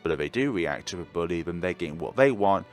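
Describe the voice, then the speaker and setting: A man with a deep voice hosts a casual game playthrough. His delivery is chatty and swings expressively, with soft game music behind him. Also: lively intonation, quick pace. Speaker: let's play host. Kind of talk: casual game commentary, reading along and reacting.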